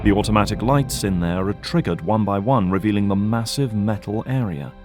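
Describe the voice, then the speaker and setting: A man narrates an action audio drama from a script. His voice is fairly low, and soft music plays behind him. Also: a little fast.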